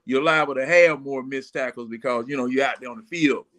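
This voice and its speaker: A man's voice: medium pitch, a little fast, fairly bright tone. A man in his sixties chatting casually about sports as a panelist, rambling and folksy in his storytelling. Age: 60s. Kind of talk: casual football fan chat.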